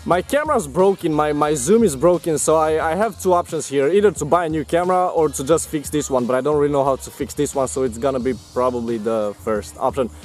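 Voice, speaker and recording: A man's voice: fairly high pitch, fast talker, few pauses, darkish tone, faint music behind. A young man from Romania with a Romanian accent, talking casually to camera as a vlogger and rambling with many fillers and restarts.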